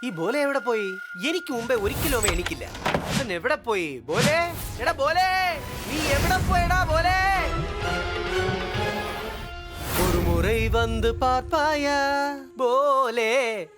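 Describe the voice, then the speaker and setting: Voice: high voice, lively intonation, takes it slowly. An actor voicing a fond, fretful guru in a children's cartoon, doting and exclamatory, with emotional delivery. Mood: anxious, pleading. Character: Guru, fond, fretful mentor to a young sidekick. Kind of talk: exaggerated children's cartoon dubbing. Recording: music behind the voice.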